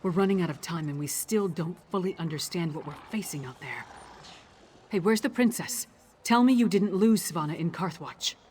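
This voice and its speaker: A woman's voice: lowish voice, animated, moderate pace, a little mellow, a little hiss or hum, natural voice. A woman acting a blunt, wry warrior. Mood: worried. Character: gruff, wry female warrior companion. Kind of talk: video game character acting.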